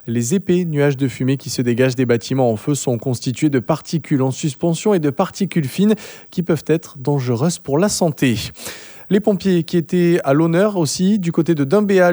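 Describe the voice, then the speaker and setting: A man's voice: a little high, mellow, no echo, clean studio sound. A man presenting a radio news bulletin, reading steadily with the odd filler. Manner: measured, with occasional fillers.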